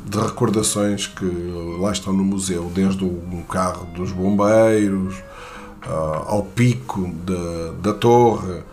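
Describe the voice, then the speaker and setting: A man from Portugal with a European Portuguese accent, telling a personal anecdote in a halting way, with many fillers and restarts. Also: low-pitched, fairly even intonation, a little mellow, soft background music.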